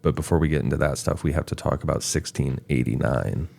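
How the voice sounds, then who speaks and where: A man with an extremely low, gravelly voice reads a prepared history segment for a podcast. His delivery is steady and even, like someone working through notes. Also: fast talker. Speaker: podcast co-host, researcher. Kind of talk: scripted narration.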